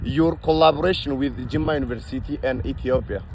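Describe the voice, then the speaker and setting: A man hosting an interview, giving a formal welcome and then asking halting questions with many fillers. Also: mid-range voice, a crowd in the background. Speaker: interview host.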